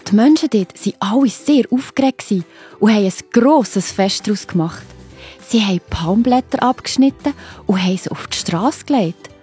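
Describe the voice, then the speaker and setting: A woman with a Swiss German accent, gently narrating and explaining a Bible story to children. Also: mid-range voice, expressive, normal speed, breathy edge, soft background music.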